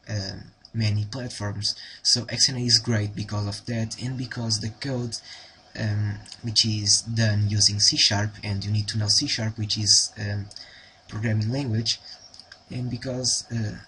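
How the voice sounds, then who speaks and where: A man's voice: fairly low pitch, sharp tone, slight background noise, rough recording. A young man from Portugal with a Portuguese accent, giving a casual tutorial with a hesitant delivery full of ums and restarts. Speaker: tutorial host.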